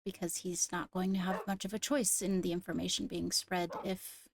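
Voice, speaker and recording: A woman with measured, formal phrasing, addressing a court as its leader in an improvised roleplay. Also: lowish voice, flat delivery, gravelly, slight background noise. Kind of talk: improvised in-character roleplay announcement.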